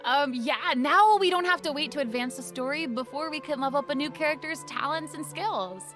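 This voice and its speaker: A woman's voice: sits high, brisk, a bit bright, soft background music. An enthusiastic, exclamatory woman co-hosting a game update show with upbeat, semi-scripted delivery. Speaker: co-host of a game update presentation.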